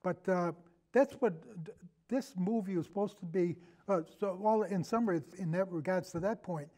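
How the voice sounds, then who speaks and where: A man's voice: high-pitched, normal speed, mellow. A man speaking as a podcast guest in a casual film discussion, rambling earnestly and repeating himself as he goes.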